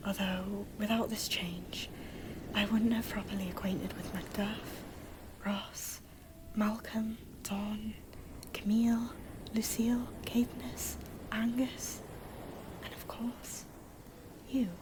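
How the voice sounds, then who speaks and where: A woman playing a gentle, wistful middle-aged noblewoman in an ASMR roleplay, speaking in a soft, breathy, confiding whisper with theatrical phrasing. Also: medium pitch, level tone, slow pace, pauses often, background noise, natural voice. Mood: nostalgic, confiding. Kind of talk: whispered ASMR comfort roleplay, scripted character monologue.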